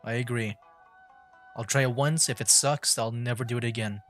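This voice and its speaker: A man's voice: mid-range voice, fairly even intonation, moderate pace, fairly bright tone. A man streaming a game with casual, laid-back, chatty commentary. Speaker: gaming streamer. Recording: game music behind voice.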